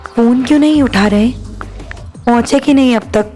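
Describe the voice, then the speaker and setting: An anxious young wife in an audio drama, played by a woman, fretting and pleading in a fairly high voice. Soft music runs beneath her lines. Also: moderate pace, natural voice. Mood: worried. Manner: worried, pleading.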